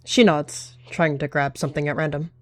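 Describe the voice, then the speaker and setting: Low-voiced female story narrator. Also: steady tone, normal speed, no room sound, soft background music. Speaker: roleplay narrator.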